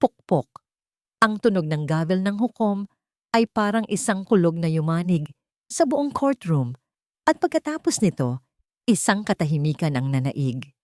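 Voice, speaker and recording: Female audiobook narrator, slightly low voice, dry recording, measured dramatic delivery of scripted fiction. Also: big pitch swings, a little mellow, no room sound.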